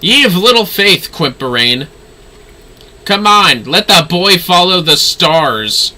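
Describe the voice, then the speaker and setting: A man's voice: high-pitched, sing-song, slow pace, pushed and bright. A man chatting casually as a video game streamer, informal and often muttering to himself. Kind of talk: casual livestream gaming chat.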